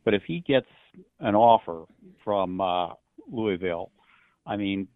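A man calling a sports show by telephone, offering casual opinions in hesitant, halting phrases over a tinny line. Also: deep voice, lively intonation, no echo. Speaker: radio call-in listener. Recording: tinny dry phone line.

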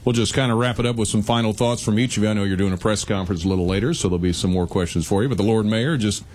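A man's voice: on the low side, very fast, darkish tone. A man hosting a radio interview, introducing guests in a conversational way. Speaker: radio show host.

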